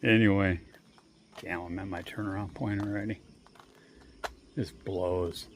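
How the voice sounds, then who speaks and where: A man vlogging casually while walking outdoors, rambling and swearing freely, with long pauses. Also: deep voice, steady tone, a little hiss or hum. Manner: rambling, profane, drawn-out pauses. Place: outdoors on a walk. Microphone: handheld phone.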